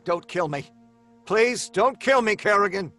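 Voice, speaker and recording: An actor playing a cornered, anxious writer in an old-time radio drama, hesitant and theatrical in delivery. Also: male voice, sits high, lively intonation, unhurried, sharp tone, faint music behind, heavily acted. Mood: pleading. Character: cornered, anxious male writer, stubborn and pleading.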